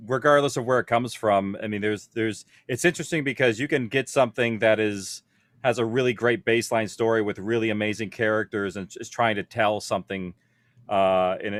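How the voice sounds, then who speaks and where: A man's voice: on the low side, no echo. Man on a panel, close dry sound. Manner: conversational, explanatory. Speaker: panel speaker, audio producer. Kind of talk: conference panel discussion.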